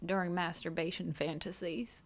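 Teasing woman with a lowish voice. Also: steady tone, moderate pace, slight background noise. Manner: teasing, joking. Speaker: podcast co-host. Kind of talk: casual chat.

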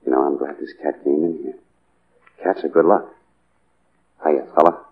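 Male, bitter loner gunman, very low voice, terse tough delivery, muffled old radio drama sound. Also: fairly even intonation, moderate pace, husky. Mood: softened. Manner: terse, tough street talk. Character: hunted hired gunman, cold, bitter hard-boiled loner. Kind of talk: 1940s radio drama acting.

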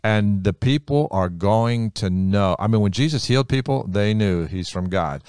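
American-raised guy explaining the bible, lowish voice, punchy repeats, dry close sound. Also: normal speed, clean tone, dry recording. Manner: animated, emphatic, repeats phrases for effect. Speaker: Bible teacher. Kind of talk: conversational Bible teaching.

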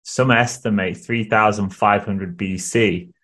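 A man's voice: on the low side, steady tone, measured pace, fairly bright tone. A man hosting a podcast, building a point steadily with questions. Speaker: podcast host. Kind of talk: casual podcast conversation.

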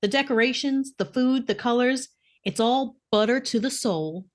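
A woman's voice: on the high side, moderate pace. A woman co-hosting a podcast, reading a novel aloud in a casual, playful way. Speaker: podcast co-host.

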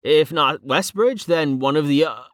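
A woman's voice: low voice, unhurried, no echo, clean studio sound, voice pushed up. A woman voicing an earnest, mild-mannered man in an audiobook, cautious and questioning. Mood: puzzled, uneasy.

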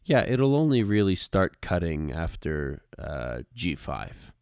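A man's voice: low voice, sing-song, takes it slowly, darkish tone, a little hiss or hum. A man co-hosting a podcast, casually explaining music with emphatic, stop-start phrasing. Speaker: podcast co-host.

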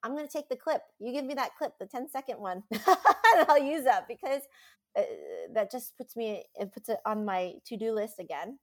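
A woman's voice: fairly high pitch, animated, moderate pace. A woman chatting casually as a podcast guest, rambling enthusiastically and laughing often.

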